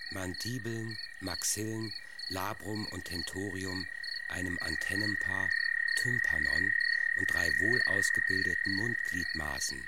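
A man narrating scientific text for a radio play in measured, fragmented phrases with deliberate pauses, over electronic music. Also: sits low, gravelly, very noisy. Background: electronic techno with insect and frog sounds.

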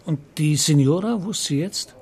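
An actor playing a tactful, sceptical police detective in a radio crime drama, measured and politely insistent. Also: male voice, a little high, a little mellow, slightly rough. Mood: curious, pensive. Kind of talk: understated radio play acting.

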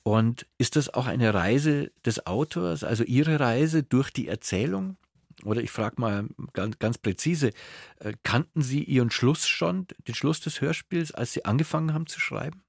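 A man hosting a cultural radio interview, phrasing his questions carefully and deliberately. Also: medium pitch, animated, vocal fry, dry recording, studio quality. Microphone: close, dry studio microphone.

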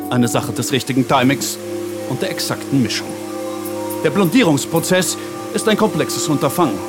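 A man's voice: medium pitch, natural voice. A man playing a pompous, theatrical narrator of a crime radio play. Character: grandiose male crime-story narrator, theatrically solemn.

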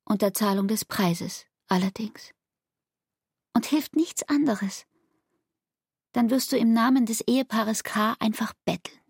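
Hurt young woman in love, radio play, creaky husky voice, kinda high, really close and dry. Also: unhurried, long pauses, no room sound, heavily acted. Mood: bitter, reproachful. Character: Frieda, wounded, earnest young barmaid in love. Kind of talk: literary radio drama, monologue.

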